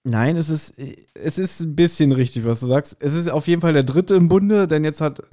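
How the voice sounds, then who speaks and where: Higher-pitched man chatting on a podcast. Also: normal speed. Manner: animated retelling with acted-out quotes. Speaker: podcast co-host. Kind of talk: casual podcast chat recapping an audio drama.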